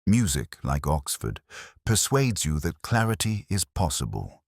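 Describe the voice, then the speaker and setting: Brooding middle-aged detective narrator, played by a man, extremely low voice, lively intonation, measured and erudite, for an audio mystery drama. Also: gravelly, slightly rough, no echo, studio quality, heavily acted. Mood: wry, melancholic. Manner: measured, literary, reflective delivery. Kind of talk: scripted first-person mystery narration.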